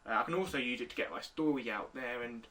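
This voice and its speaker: Young guy of eighteen, clear bright tone, a bit off-mic. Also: mid-range voice, fast, far from the mic. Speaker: interviewee. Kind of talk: recorded video interview answers.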